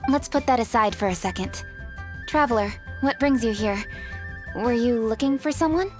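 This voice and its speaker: An actress playing a poised, dutiful young city official in a fantasy video game, speaking in an articulate, earnest way. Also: female voice, on the high side, normal speed, over music, close to an everyday voice. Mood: composed. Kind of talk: video game character acting.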